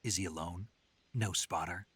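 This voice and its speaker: An actor playing a gruff, terse soldier on a covert mission in a video game, with a gravelly voice. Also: male voice, sits low, normal speed, a bit bright. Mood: terse, guarded. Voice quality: gravelly, husky. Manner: terse, dry. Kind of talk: video game radio-call dialogue acting.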